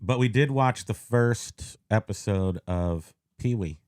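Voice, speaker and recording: A man's voice: fairly low pitch, slow, a little mellow. A man co-hosting a podcast, chatting casually on a live stream in a joking, playful way. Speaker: podcast co-host.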